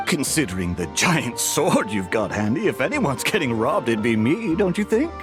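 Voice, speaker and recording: A man's voice: medium pitch, moderate pace, over music, natural voice. An actor playing a sly, persuasive male buyer haggling for a deal in a video game. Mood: wheedling, sly. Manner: persuasive, expressive.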